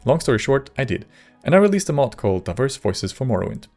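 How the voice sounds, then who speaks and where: A man giving scripted video narration in a measured way with dry, wry humour. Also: medium pitch, big pitch swings, gravelly, darkish tone. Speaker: video creator and modder. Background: faint music.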